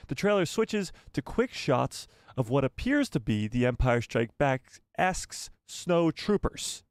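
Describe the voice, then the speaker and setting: A man's voice: medium pitch, no echo. A man hosting a live fan news stream, reading news and adding rambling, self-correcting asides to his viewers. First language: not Spanish. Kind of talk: casual live news chat.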